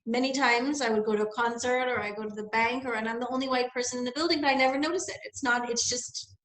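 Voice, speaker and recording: A young woman from the Bahamas talks through her experiences in a loose, thinking-aloud way. Her voice sits a little high, and the recording rings with the echo of the room around her. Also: fairly even intonation, fast talker, fairly bright tone. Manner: reflective, rambling, many fillers. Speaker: guest. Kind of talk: casual conversation, personal story.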